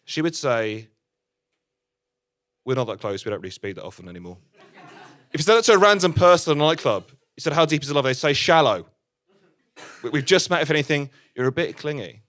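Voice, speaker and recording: A man's voice: on the high side, very animated, a little fast, long pauses, a bit bright. A man playing an awkward stand-up comedian, rambling through a routine in a hesitant, self-deprecating way. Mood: bemused.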